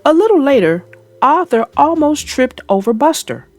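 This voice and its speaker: Animated woman reading to young children. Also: high-pitched, moderate pace, no room sound, soft background music. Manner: addresses young listeners directly, instructive. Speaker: children's book narrator and channel host. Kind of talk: read-aloud storytelling for young children.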